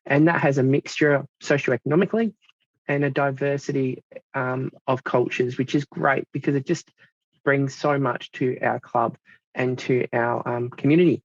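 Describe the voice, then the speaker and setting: An Australian man in his thirties gives a presentation, pausing often with ums and uhs. His voice has a gravelly edge, and the sound is dry and a little dull, as on a lo-fi recording. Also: mid-range voice, steady tone, no echo. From South Australia. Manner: halting, many fillers. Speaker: club leader presenting. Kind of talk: presentation to a club audience.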